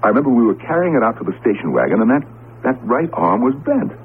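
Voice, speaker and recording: Wildly animated, eager radio-drama husband played by a man. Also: mid-range voice, fast talker, close to an everyday voice. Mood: bewildered. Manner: excitable, coaxing, stammering slightly. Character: eager, boyish husband, a professor of Egyptian history. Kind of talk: 1970s radio drama acting. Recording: muffled, noisy 1970s radio recording.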